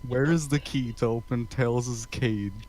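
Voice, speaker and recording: A man reading character lines aloud in a halting, amused, everyday way. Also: medium pitch, steady tone, darkish tone, a little hiss or hum, poor audio. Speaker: co-reader of character dialogue.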